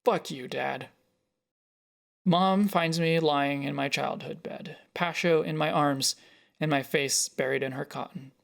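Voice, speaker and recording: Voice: medium pitch, fairly even intonation, unhurried, gravelly. An author reading their own first-person short story as scripted narration.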